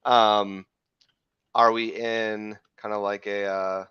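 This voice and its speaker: A man hosting a live crypto trading show, talking through chart analysis in a rambling way, correcting himself, with a slightly hoarse voice from losing it. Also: fairly low pitch, flat delivery, slow, bright, forceful tone, no room sound, lo-fi sound. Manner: rambling, self-correcting, frequent "right?" checks.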